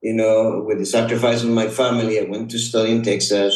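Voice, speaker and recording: A middle-aged or older man from Honduras, a native Spanish speaker with a Honduran accent, telling personal stories in a halting, reflective way. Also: lowish voice, little pitch movement, a little mellow. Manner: halting, reflective, often repeats "you know". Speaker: podcast guest. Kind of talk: personal storytelling in conversation. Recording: roomy echo, distant microphone.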